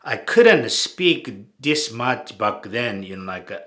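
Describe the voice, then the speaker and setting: A man in his fifties from Japan with a strong Japanese accent, recalling old times in a halting, filler-heavy way. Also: medium pitch, big pitch swings, fairly bright tone. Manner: halting, many fillers and restarts. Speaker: channel host. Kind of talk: casual spoken recollection.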